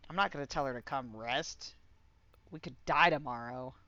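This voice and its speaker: A woman streamer giving casual, giggly, excitable and profane live commentary on a game. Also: extremely low pitch, moderate pace, muffled recording.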